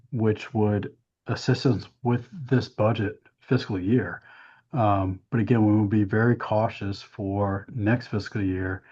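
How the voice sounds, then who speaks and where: A man presenting a town budget at a council workshop, hoarse from losing his voice, with a hesitant manner full of "um"s. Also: on the low side, warm tone. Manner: hesitant, many "um"s, explains plainly. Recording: dull, muffled meeting audio.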